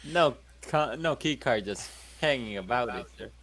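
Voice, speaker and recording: A man's voice: mid-range voice, lively intonation, moderate pace, fairly bright tone. A man playing in a tabletop role-playing game, chatting casually, joking and swearing. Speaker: player.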